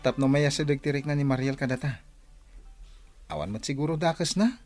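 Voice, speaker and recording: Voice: mid-range voice, steady tone, fairly quick, darkish tone, dry recording, clean studio sound, soft background music, lightly acted. A performer playing an eager young adult child of the family in a Filipino radio drama.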